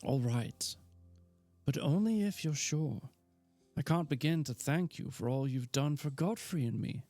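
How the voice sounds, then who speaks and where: A man reading aloud and voicing a worried, well-spoken young Victorian bride in a higher voice. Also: expressive, unhurried, darkish tone, soft background music. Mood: grateful. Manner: worried, earnest.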